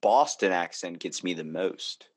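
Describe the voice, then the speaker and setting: A man with a Baltimore accent chatting casually on a podcast, telling animated stories and acting out quotes. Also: deep voice, a little slow. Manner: animated storyteller, acts out quotes.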